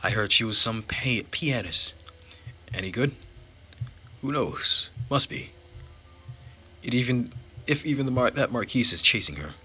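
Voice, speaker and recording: A man's voice: medium pitch, expressive, a little slow, long pauses, sharp tone, slightly rough, soft background music, exaggerated voice. A man voicing snide, leering gossips in a theatre audience, mocking and dismissive, for a visual novel.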